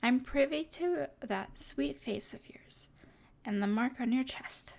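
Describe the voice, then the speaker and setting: A woman playing a smug, teasing evil sorceress in an intimate ASMR roleplay, with a breathy edge and a haughty, mysterious manner. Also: sits high, slow pace, a little mellow, heavily acted.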